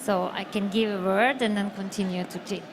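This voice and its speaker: A woman with a Turkish accent speaking on a live panel as an academic, haltingly searching for words. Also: medium pitch, steady tone, background noise. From Turkey. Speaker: university academic, panel member. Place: live stream stage at a busy event.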